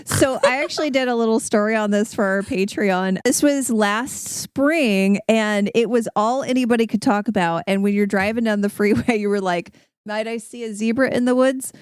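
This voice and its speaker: An American woman with a clear, smooth voice at a middling pitch. She chats and tells anecdotes casually as a podcast guest, recorded close and dry. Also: moderate pace, few pauses, no room sound.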